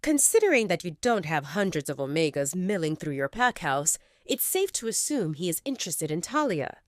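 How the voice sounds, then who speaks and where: A woman voicing a calm, persuasive male alpha of a rival pack who talks in a measured, reasoning way. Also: lowish voice, dry recording, clean studio sound.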